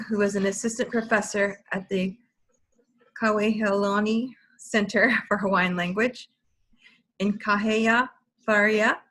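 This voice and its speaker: A woman's voice: medium pitch, fairly bright tone, rough recording. A woman hosting an online webinar, reading a formal scripted welcome and ground rules over a video call. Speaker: webinar host and program manager.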